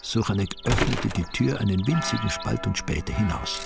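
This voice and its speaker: A wry Austrian storyteller narrates a radio drama in a lowish, creaky voice. His delivery is deadpan and ironic. Music plays clearly underneath. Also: normal speed.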